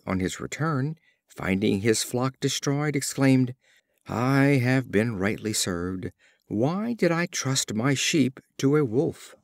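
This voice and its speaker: A man reading scripted fables as an audiobook narrator, with an expressive storyteller's delivery. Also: mid-range voice, no echo, studio quality. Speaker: audiobook reader.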